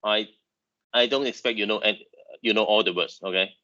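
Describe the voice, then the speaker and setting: Man lecturing online, first language chinese, low voice, halting, repeats words, bright tone, dull lo-fi mic. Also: fairly even intonation. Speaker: university engineering lecturer.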